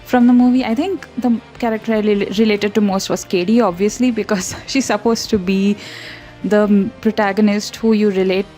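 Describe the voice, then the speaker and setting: A woman's voice: mid-range voice, fairly even intonation, moderate pace, warm tone, soft background music. Giggly, rambling young woman from India. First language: not English. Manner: rambling, chatty, frequent giggles and self-corrections. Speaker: art channel host. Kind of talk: casual voiceover over a speedpaint.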